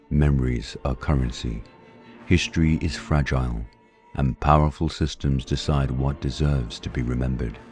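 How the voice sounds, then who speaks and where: A man hosts a late-night story podcast in an extremely low, husky voice. His tone is dark and mellow, and he reads scripted introductions over faint music. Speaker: podcast host.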